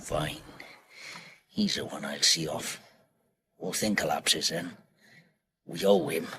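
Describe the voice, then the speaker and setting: An actor playing a bossy, edgy British crime crew leader in a radio drama, giving clipped, commanding instructions. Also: male voice, rumbling low, takes it slowly, sharp tone, slightly rough, heavily acted. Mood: grim, vengeful.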